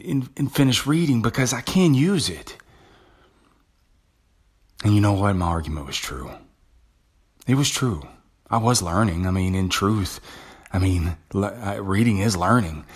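A man's voice: fairly low pitch, breathy edge. A man hosting his own podcast, talking through a personal story in a casual, conversational monologue with frequent restarts. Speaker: podcast host. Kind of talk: motivational monologue.

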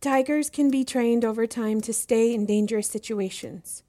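A woman's voice: on the high side, normal speed, warm tone, lightly acted. An actress playing an eager, deferential young female student in an audio drama, speaking in an earnest, inquisitive way. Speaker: voice actor in a fiction podcast. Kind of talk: acted audio drama dialogue.

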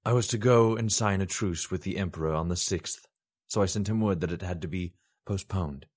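Man voicing a low, steady storybook ruler, calm and caring. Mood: reassuring. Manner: measured, reassuring. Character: steady, caring male god-king.

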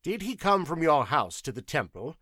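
A man's voice: on the high side, big pitch swings, moderate pace, sharp tone, slightly rough, no echo, studio quality, pitched above the performer's own voice, exaggerated voice. A man voicing a stern, impatient inquisitor in an audiobook, questioning in a curt, commanding way. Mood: probing. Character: stern male inquisitor, impatient, weary of interrogations.

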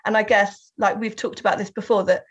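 A woman's voice: mid-range voice, level tone, fairly quick, breathy edge. A woman with a Southern English accent, talking thoughtfully as an interview guest, often laughing and restarting her sentences. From England. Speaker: guest artist and writer. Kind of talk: reflective interview talk. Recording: slightly dull lo-fi sound.

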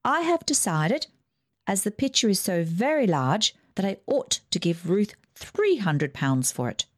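Brief: a high-pitched, imperious, wealthy aunt, voiced by a female reader for a storybook. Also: sing-song, measured pace. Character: wealthy bossy older woman aunt.

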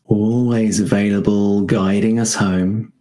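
A man guiding a meditation in a gentle, unhurried manner, leaving spacious pauses between short phrases. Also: fairly low pitch, steady tone. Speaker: meditation guide. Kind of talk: guided meditation.

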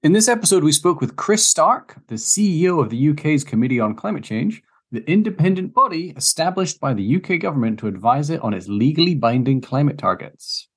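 A man's voice: fairly high pitch, very animated, fairly quick, hardly any pauses, breathy edge. A man hosting a podcast and interviewing his guest in an upbeat, friendly way. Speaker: podcast host, climate scientist.

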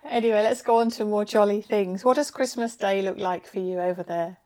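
A woman hosting a podcast, asking gently inquisitive interview questions. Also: medium pitch, brisk.

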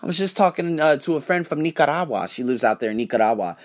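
A man hosting a podcast, delivering an emphatic, profane monologue to camera with sudden rises in pitch and frequent restarts. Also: animated, fast talker. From United States. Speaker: podcast host.

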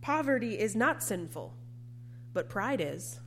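A woman preacher delivering a sermon in a measured, emphatic way, with deliberate repetition. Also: medium pitch, big pitch swings, a little hiss or hum.

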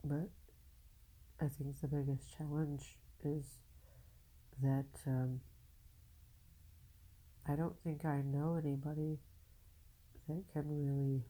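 A woman podcaster speaking off the cuff in a halting, confessional way, candid and casually profane, with a low husky voice and a downcast, weary air. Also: level tone, warm tone, rough recording. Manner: halting, searching, with long pauses. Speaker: podcast host. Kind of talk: unscripted personal monologue, confessional.

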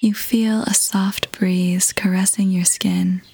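Female meditation guide: soft breathy near-whisper, very slow, even pitch, gentle and soothing. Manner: soothing, gentle, measured with long pauses. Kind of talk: guided meditation, scripted narration. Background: music.

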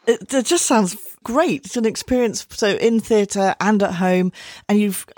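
A woman's voice: medium pitch, measured pace, darkish tone, no room sound, clean studio sound. A woman hosting a podcast, interviewing a guest in a conversational style. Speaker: podcast host.

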